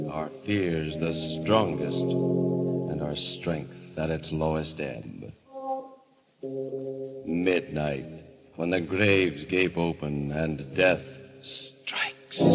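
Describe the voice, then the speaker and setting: A grim horror host from 1940s radio. His voice is low and slow, portentous and theatrical, over loud music. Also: full character voice. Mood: ominous. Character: grim, ominous narrator of a horror series. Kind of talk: 1940s radio mystery narration.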